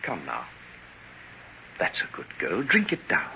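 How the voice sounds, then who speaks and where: An actor playing a stern, controlling gentleman doctor in an old-time radio melodrama, commanding and condescending in his delivery. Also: low-pitched, wildly swooping pitch, measured pace, vocal fry, full character voice. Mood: soothing, controlling. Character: domineering, stern gentleman doctor feigning soothing concern. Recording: muffled, noisy vintage radio recording.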